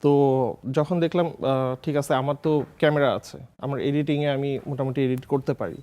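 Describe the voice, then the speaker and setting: A man's voice: medium pitch, fairly even intonation, moderate pace, warm tone, smooth. A man with a Bangladeshi accent telling his story casually as an interview guest, mixing English words and phrases into his speech. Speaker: guest, food content creator.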